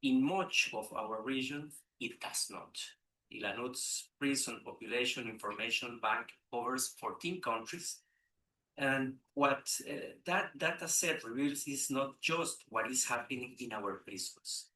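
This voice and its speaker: A man speaks at a conference in a formal, measured way, stumbling now and then over a word. The mic sits some way off. The large room gives his voice a noticeable echo. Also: medium pitch, sharp tone. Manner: measured, occasional hesitant restarts. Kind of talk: formal prepared speech. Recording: echoey room, distant mic.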